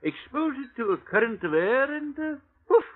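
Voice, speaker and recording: A chatty, needling country doctor in a radio play. His voice is high and swoops animatedly, through a tinny, noisy vintage recording. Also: sing-song, moderate pace, full character voice. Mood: jovial, needling. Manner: chuckling, hesitant, chatty. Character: jovial, needling country doctor, eager to advise. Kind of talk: old radio drama acting.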